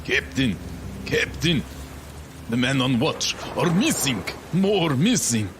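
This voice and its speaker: An actor playing a haunted, superstitious ship's mate in a gothic audio drama, intense and halting. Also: male voice, mid-range voice, very animated, background noise. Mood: alarmed.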